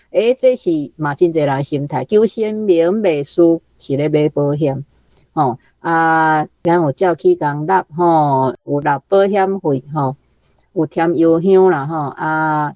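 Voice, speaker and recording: A Taiwanese woman comments informally as a guest. Her voice is low and mellow, recorded dry, and she punctuates her phrases with frequent tag particles. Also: no room sound, rough recording. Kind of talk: spontaneous literary discussion.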